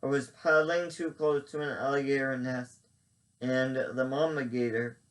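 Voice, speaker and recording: A man's voice: medium pitch, fairly even intonation, a little slow, distant mic, lightly acted, rough recording. A man voicing a gloomy, simple-minded Cajun man in a slightly raised, plain voice.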